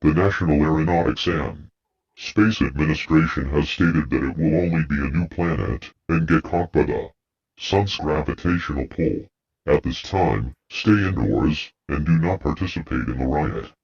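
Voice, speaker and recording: A man's voice: very deep voice, moderate pace, darkish tone, husky, dull audio. A man's synthetic voice reading a scripted emergency bulletin in a formal, stern way. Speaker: emergency alert announcer.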